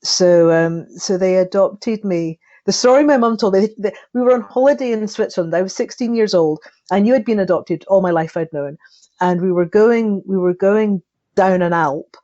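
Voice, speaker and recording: A Scottish woman recounting her life story as a podcast guest. She talks in a lively, anecdotal way, often restarting her sentences. The sound is a bit dull and lo-fi, and her voice sits at a middling pitch. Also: normal speed. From Scotland. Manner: animated anecdotal telling with frequent restarts and laughter. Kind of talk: personal storytelling in an interview.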